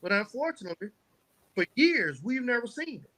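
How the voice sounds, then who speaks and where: A man's voice: very high-pitched, big pitch swings, fairly quick, pushed and bright, low-quality recording. An American man answering as a podcast guest in an earnest, conversational way, building his points in lists. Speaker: podcast guest, youth mentor and nonprofit founder.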